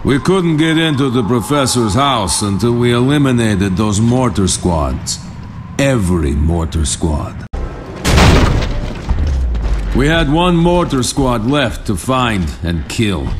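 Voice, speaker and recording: An actor playing a hard-bitten, sardonic veteran soldier in a video game, with a dry, defiant manner. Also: male voice, medium pitch, sing-song, slow, background noise. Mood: grim recounting. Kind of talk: video game cutscene acting and narration. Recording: music behind.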